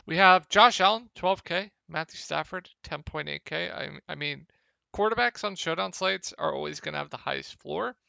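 A young man with a slightly hoarse, gravelly voice, casually talking through fantasy football picks over a screen share, with many ums. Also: a little high, normal speed, dry recording, lo-fi sound. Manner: relaxed, lots of ums. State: a little hoarse. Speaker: fantasy sports analyst.

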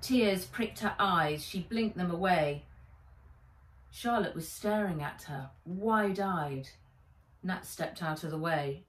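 A middle-aged woman author reading her children's story aloud, deliberate and dramatic, recorded in a room at home. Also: on the low side, recorded from a distance. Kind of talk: expressive children's story narration. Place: indoors, living room or garden room at home. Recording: roomy, echoing home recording.

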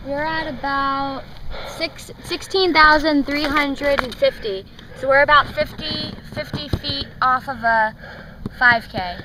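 A woman's voice: high-pitched, fairly even intonation, slow pace, background noise. A young woman outdoors on a grassy course, matter-of-factly working out numbers aloud into a camera phone. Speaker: runner, the speaker's daughter. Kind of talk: casual chat outdoors.

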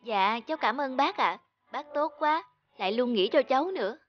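An earnest, high-voiced young scholar. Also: female voice, lively intonation, normal speed, highs cut off. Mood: grateful. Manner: polite, earnest. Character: poor, grateful, earnest young male scholar. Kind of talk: animated folk tale acting. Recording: music behind the voice.

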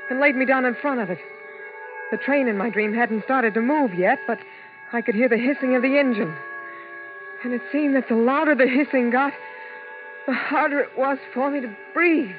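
A woman's voice: fairly high pitch, a little fast, phone-quality sound, close to an everyday voice. Actress as tired Vegas showgirl, female lead and narrator, vintage radio thriller, band-limited with music behind. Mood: frightened. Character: weary Las Vegas showgirl recounting her ordeal. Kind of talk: 1950s radio drama acting, first-person narration.

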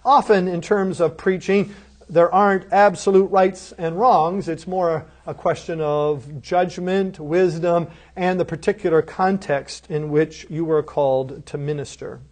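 A man's voice: fairly high pitch, fairly even intonation, unhurried. A man giving a lecture on preaching. He talks in an earnest, teacherly way and often asks the listeners rhetorical questions. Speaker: seminary lecturer.

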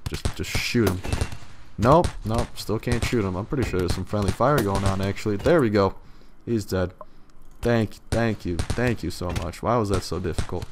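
Young male let's-player, a bit hoarse, lowish voice, rambly and casual, noisy recording. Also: normal speed. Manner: loose, rambling, swears casually. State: slightly hoarse. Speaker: let's play gamer. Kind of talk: casual gameplay commentary.

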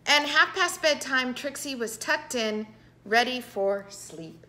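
A middle-aged woman reading a picture book aloud to children with expressive, dramatic emphasis. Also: high voice, takes it slowly. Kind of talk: animated children's storybook read-aloud. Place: indoor living room with piano.

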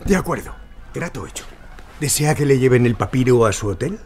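An actor playing a wily, flattering Lebanese antiquities dealer in an audio drama. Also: male voice, medium pitch, brisk, slight background noise, close to an everyday voice. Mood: flattering, sly.

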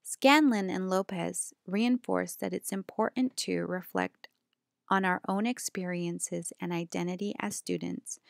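A young woman from the United States, a graduate student reading a prepared slideshow presentation in a steady, earnest way. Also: lowish voice, creaky, no echo, studio quality.

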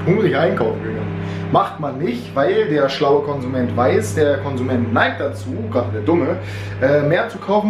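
A young man talks casually into a camera held at arm's length. He rambles and pokes fun at himself, and his voice carries a slight cold. A steady noise runs under the recording. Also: medium pitch. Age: 20s. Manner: chatty, self-mocking, rambling asides. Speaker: vlogger. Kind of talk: casual weekly vlog chat. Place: home kitchen and inside a car. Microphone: handheld camera at arm's length.

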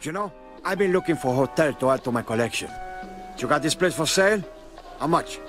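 An actor playing a cocky, menacing crime boss with a Cuban accent in a video game cutscene. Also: male voice, on the high side, animated, fairly quick, music in the background, lightly acted. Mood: persuasive.

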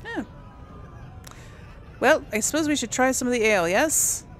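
A woman's voice: high-pitched, animated, normal speed, a bit bright. A woman hosting a casual let's-play, reading lore entries aloud and thinking aloud with many ums.

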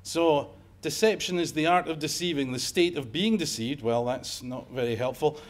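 A man's voice: on the high side, moderate pace. A middle-aged man from Scotland with a Scottish accent, giving a conference talk in a lecture room with wry, joking asides. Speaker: emergency medicine professor giving a lecture.

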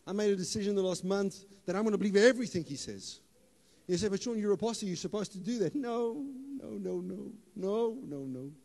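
A man delivers a sermon from a church stage, holding a handheld mic. His voice sits somewhat high and he shifts from easy, joking asides to loud, emphatic declarations. The hall adds a long reverb to every line. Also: fairly quick, vocal fry. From South Africa. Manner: animated, playful, rises to loud emphatic bursts. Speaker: pastor.

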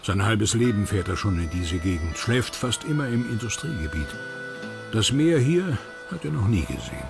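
A man reading scripted documentary narration in a lively voice over music. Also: on the low side, moderate pace, gravelly.

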